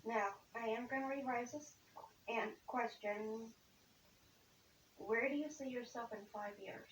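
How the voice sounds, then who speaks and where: A woman's voice: on the high side, unhurried. A woman working as a community liaison, asking interview questions in an informal way. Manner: informal, leans on "basically" and "okay". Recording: roomy echo, distant mic, faint hiss.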